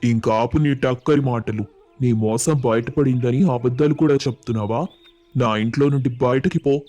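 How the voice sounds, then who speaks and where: A man voicing a haughty, domineering village landlord in an animated moral story, stern and dismissive. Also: mid-range voice, moderate pace, lightly acted. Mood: angry, accusing. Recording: music under the voice.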